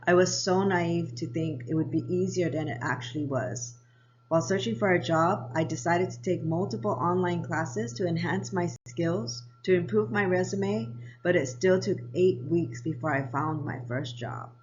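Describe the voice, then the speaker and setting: Low-voiced woman narrating her vlog. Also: moderate pace, highs cut off, a little hiss or hum. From Japan and Hawaii. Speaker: vlogger. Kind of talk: scripted personal narration.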